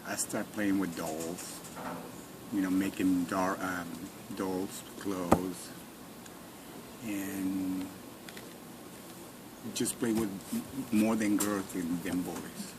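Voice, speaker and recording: Low-voiced Mexican man, brief halting answers. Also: steady tone. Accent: Mexican Spanish. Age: 40s. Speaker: interviewee. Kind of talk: oral history interview. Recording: noisy recording with room hiss.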